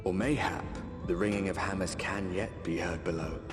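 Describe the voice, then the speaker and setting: Man doing a wise solemn storyteller for a fantasy game, really deep, big reverb, music under it. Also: steady tone, close to an everyday voice. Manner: solemn, measured. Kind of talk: poetic scripted game narration. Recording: long hall reverb, clear music behind.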